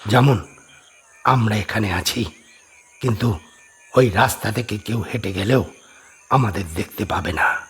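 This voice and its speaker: A man voicing a grave, mysterious spiritual adept in a horror audio drama, solemn and deliberate with pauses. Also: lowish voice, fairly bright tone, husky, full character voice.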